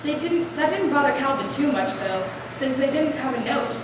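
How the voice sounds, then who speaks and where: Young female narrator, high voice, scripted stage storytelling, clearly noisy recording. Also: expressive, moderate pace. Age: young adult or younger, school pupil. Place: large echoey room. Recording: echoey noisy room, far mic.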